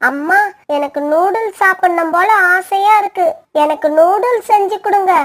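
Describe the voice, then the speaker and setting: A woman's voice: very high-pitched, moderate pace, slight background noise. A woman voicing a whiny, pleading young girl sparrow in a children's cartoon. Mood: eager.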